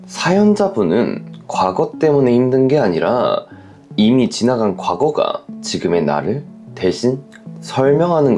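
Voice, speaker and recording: A man hosting a letter-reading show, delivering scripted, reflective advice commentary in a polite, measured, earnest manner. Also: fairly low pitch, darkish tone.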